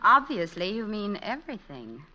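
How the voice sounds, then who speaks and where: An actress playing an exasperated wife with dry wit and wry comebacks in a radio romantic comedy. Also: female voice, mid-range voice, very animated, moderate pace, sharp tone. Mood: fed up. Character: exasperated, dryly witty wife seeking a divorce. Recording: dull, muffled vintage radio recording.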